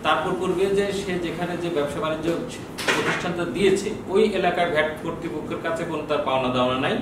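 A man in his sixties with a Bangladeshi accent talks quickly, explaining like an informal teacher who asks and answers his own questions. He is recorded far from the microphone in a strongly echoing office with clear background noise. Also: fairly high pitch, fairly even intonation. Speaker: customs bond official giving training. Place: small office with bookshelves, indoors. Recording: very echoey, distant mic, noisy room.

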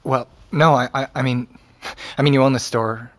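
An actor playing an awkward, guarded teenage deli worker in a naturalistic audio drama, hesitant and terse. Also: male voice, mid-range voice, steady tone, moderate pace, close to an everyday voice. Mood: flustered. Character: awkward, guarded seventeen-year-old male deli worker.

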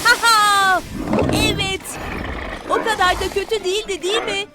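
Excitable, very high-pitched little boy ranger. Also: normal speed. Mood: playful, encouraging. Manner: exclamatory, eager, playful. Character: excitable young boy ranger, cheerful and friendly. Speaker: main character of a children's cartoon. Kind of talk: animated children's cartoon dub. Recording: music under the voice.

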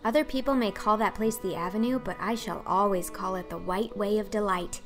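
A woman's voice: mid-range voice, brisk, faint music behind, close to an everyday voice. A woman voicing a dreamy, talkative, imaginative orphan girl in an audiobook, breathless and earnest. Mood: eager, earnest.

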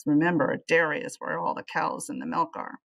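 A middle-aged woman reading a children's storybook aloud in an animated way, speaking to young listeners as a teacher would. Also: low-pitched, a little fast, gravelly. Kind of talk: expressive children's storybook read-aloud with asides.

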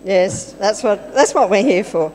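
A woman's voice: mid-range voice, lively intonation, measured pace, darkish tone, a little hiss or hum. An older woman leading a playful children's talk in a church service, chatting and asking the children questions. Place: large church hall with congregation. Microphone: handheld microphone. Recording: long hall reverb.

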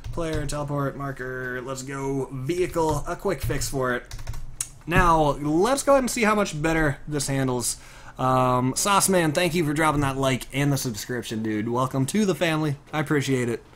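A man's voice: fairly high pitch, moderate pace, fairly bright tone, slight background noise. A young American man chatting in a friendly, casual way as he commentates his video game livestream. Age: young adult. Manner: chatty, friendly, thanking viewers. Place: indoor room, at a desk.

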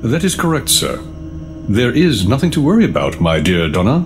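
An actor voicing a polite, suave, butler-like ship computer in an audio drama, formal and unctuous. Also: male voice, medium pitch, huge pitch swings, moderate pace, music in the background. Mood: suave, reassuring.

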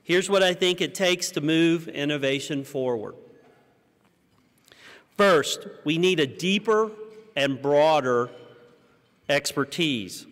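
An American man in his fifties giving a prepared conference keynote at a podium, with measured, rhetorical delivery and deliberate pauses. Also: fairly high pitch, fairly bright tone. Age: 50s. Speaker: keynote speaker. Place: large conference hall stage, indoors. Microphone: podium microphone. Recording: reverberant hall sound.

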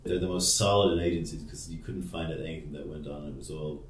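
A man's voice: rumbling low, flat delivery, quick pace, echoey room, slight background noise. A male podcast host asking questions in casual, rambling, teasing conversation.

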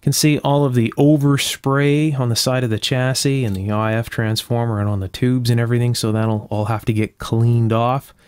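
A man with a medium-pitched voice narrates hands-on repair work in a casual way. He explains each step carefully, like a workshop host walking a viewer through the job. The sound is dry, with very little room in it. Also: fairly even intonation, moderate pace, hardly any pauses, a little mellow, smooth, dry recording. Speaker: electronics restorer and video host. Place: indoor electronics workshop at a bench.

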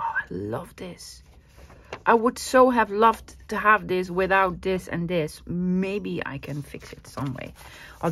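A woman's voice: fairly low pitch, fairly bright tone, a little hiss or hum. Gushing Dutch-accented woman vlogger. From Netherlands. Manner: gushing, enthusiastic, chatty. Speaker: craft vlogger. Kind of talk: casual haul vlog.

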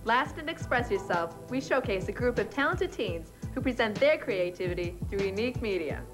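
A woman's voice: a little high, expressive, moderate pace. A young woman co-hosting a teen TV magazine show from a script, with music behind her. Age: teens to young adult. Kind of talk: scripted presenting.

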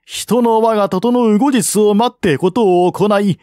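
A man voices a shrewd male envoy in a historical audiobook, reasoning in polite, formal sentences. The voice is lifted high and close, with a dry sound. Courtesy holds throughout as he argues for peace. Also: unhurried, mellow, no echo. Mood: earnest, persuading.

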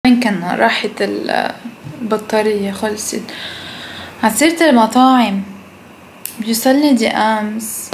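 A young adult woman with a Lebanese Arabic accent chats casually to camera as a food vlogger, mixing English phrases in and speaking bluntly. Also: medium pitch. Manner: blunt, swears freely. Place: indoors at home, kitchen and living room. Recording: clear background noise.

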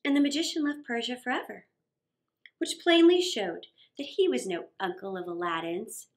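A middle-aged woman reading a children's story aloud in a theatrical, expressive narrating style. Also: a little high. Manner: theatrical, expressive, with gasps and sound words.

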